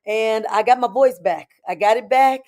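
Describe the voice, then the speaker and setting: A woman with a hoarse, raspy voice, hosting a casual live-stream chat as a spiritual coach and talking familiarly to her viewers. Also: a little high, moderate pace, darkish tone. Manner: chatty, familiar, calls viewers "baby" and "y'all".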